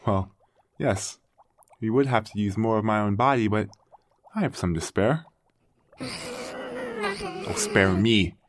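Man acting an expressive, awestruck machine parent. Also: on the low side, a little hiss or hum, full character voice. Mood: musing, reassuring. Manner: theatrical, wonder-filled, musing, halting. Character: aging artificial intelligence, doting, awestruck creator-parent of new creatures. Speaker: narrator and lead character. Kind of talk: dramatic first-person audio fiction monologue.

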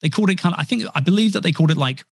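A man's voice: high voice, lively intonation, racing, gravelly, husky, no room sound, clean studio sound. A man chatting as a podcast guest, rambling and self-deprecating with frequent restarts. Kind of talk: casual interview talk. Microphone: podcast mic.

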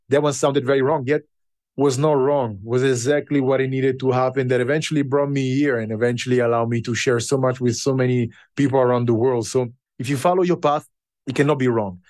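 A man who learned English later in life, a podcast guest telling his story in an unhurried, reflective way with pauses. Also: mid-range voice, darkish tone, dry recording. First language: not English. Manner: unhurried and thoughtful, with pauses and fillers.

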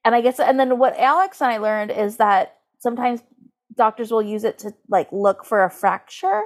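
A woman with a somewhat high voice chats casually as a podcast co-host. She is animated and prone to giggling. Her sentences are loose and full of "like". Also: moderate pace. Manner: animated, giggly, uses "like" constantly. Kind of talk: casual comedic chat, recapping and reading aloud.

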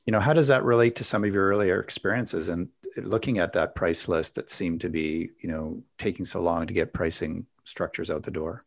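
A man with a very low voice hosts a relaxed interview. He asks long, winding questions, pausing often on ums and you-knows. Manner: rambling questions with many fillers. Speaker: interview host. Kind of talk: casual interview conversation.

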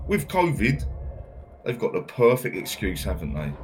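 A man's voice: medium pitch, wildly swooping pitch, moderate pace, slight background noise. An actor playing a bitter, foul-mouthed male prisoner, ranting about life on the wing. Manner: angry, sweary, full of tag questions. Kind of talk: audio drama monologue.

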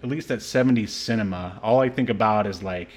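A man's voice: on the low side, brisk, husky. A man hosting a podcast, talking through a film in a casual, rambling and hesitant way. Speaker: podcast host. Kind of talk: casual film review chat.

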